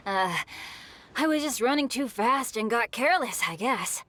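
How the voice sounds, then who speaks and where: A woman's voice: fairly high pitch, expressive, measured pace, a bit bright. A woman playing a cheerful, accident-prone teenage boy adventurer in a video game, with a youthful boyish voice and an eager, sheepish manner. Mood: sheepishly cheerful. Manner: eager, sheepish, good-natured. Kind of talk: video game cutscene acting.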